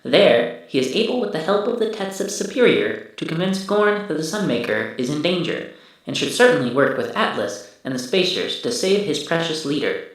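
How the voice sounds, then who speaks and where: A man voicing a machine memory archive, reciting a recap in a formal, deliberate, dramatic style. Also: on the high side, big pitch swings. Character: male machine memory archive, formally recounting past adventures. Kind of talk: scripted recap narration. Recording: distant voice in heavy echo.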